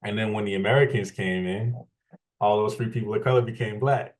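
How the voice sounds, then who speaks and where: Interview guest: man from Louisiana, deep level voice, measured and explanatory. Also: steady tone, poor audio. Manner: measured, frequent restarts and fillers, explanatory. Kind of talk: thoughtful conversational storytelling.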